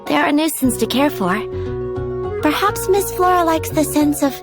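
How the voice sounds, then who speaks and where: An actress playing a sweet, dreamy and easily flustered young flower seller in a video game. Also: female voice, high voice, lively intonation, moderate pace, loud music behind the voice. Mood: eager, dreamy. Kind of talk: video game character acting.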